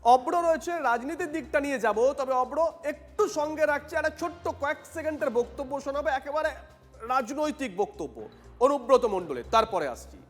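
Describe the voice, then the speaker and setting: A man's voice: extremely high pitch, animated, a little fast. A man with a West Bengal Bengali accent hosting a live television news panel, steering guests with brief acknowledgements. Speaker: news debate host. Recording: echoey reverberant sound over music bed.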